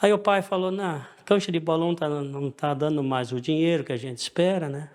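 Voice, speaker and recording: An older man, reminiscing about old local sports in an unhurried way. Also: a little high. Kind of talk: interview reminiscence.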